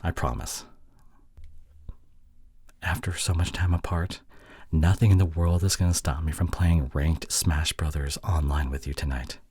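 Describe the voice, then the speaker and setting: A young man playing a sheepish, affectionate boyfriend in an intimate audio roleplay, soft and halting, with breathy drops toward a whisper. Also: very deep voice, level tone, no echo, full character voice. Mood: sheepish, defensive. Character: sheepish, affectionate young boyfriend apologizing to his long-distance partner.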